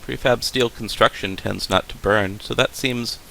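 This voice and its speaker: A calm, probing doctor from a video game, counselling in a measured way. His voice has a gravelly fry, with a clear hiss underneath. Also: fairly low pitch, rough recording. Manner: measured, questioning. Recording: faint steady hiss.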